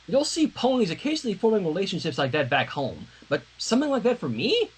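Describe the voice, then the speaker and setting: A man's voice: high voice, huge pitch swings, fast, breathy edge, heavily acted. A man voicing a troubled, exasperated teenage girl in a cartoon fan story, weary and torn. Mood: doubtful.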